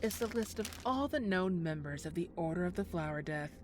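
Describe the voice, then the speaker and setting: Woman acting sly villain lady for an audio drama, lowish voice, fake sweet n condescending. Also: lively intonation, brisk, music in the background, lightly acted. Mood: insinuating. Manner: smooth, condescending, feigned sweetness. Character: sly, scheming villainous old flame, woman.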